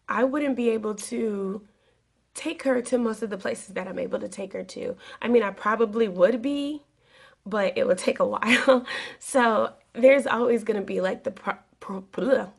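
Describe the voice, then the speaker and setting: Woman, raspy, gravelly, recovering from a cold, casual vlog, rambling, mid-pitched. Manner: rambling, earnest, with many fillers. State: getting over a cold. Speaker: flight attendant vlogger.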